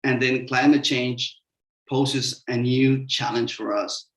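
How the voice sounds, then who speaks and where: A man's voice: medium pitch, level tone, slow pace, fairly bright tone. A man from Mexico with a Mexican accent, a Spanish speaker giving a hesitant, filler-heavy talk as a panelist in an online webinar. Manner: hesitant, many "uh" fillers, explanatory. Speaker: webinar panelist, sustainable construction consultant. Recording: echoey room.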